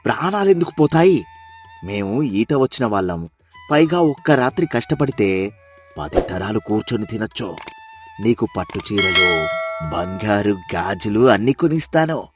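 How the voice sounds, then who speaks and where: Guy as coaxing boastful village husband, voice jumps around a lot, soft music behind. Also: fairly low pitch, very animated, moderate pace. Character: eager gullible villager placating his nagging wife. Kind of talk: dramatized moral story.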